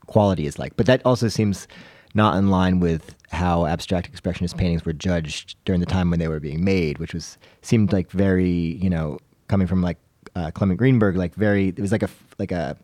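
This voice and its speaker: A man's voice: low voice, fairly quick, darkish tone, dry recording. A man co-hosting a podcast, asking questions and discussing things casually.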